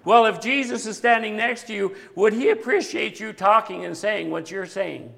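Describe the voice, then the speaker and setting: A man preaching a sermon with a big voice that grows animated at times. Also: high-pitched, normal speed, vocal fry, in a large hall. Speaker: pastor.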